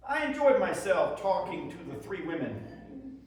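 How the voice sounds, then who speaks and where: Casting: male actor as high-voiced, weary but defiant Civil War soldier reading letters home, folksy and plain-spoken, distant echoey live recording. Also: very animated, a little slow. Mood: pleased, boastful. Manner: plain-spoken, folksy storytelling with rustic grammar. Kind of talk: dramatic reading of wartime letters. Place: live presentation in an echoey room. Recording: echoey room, distant microphone.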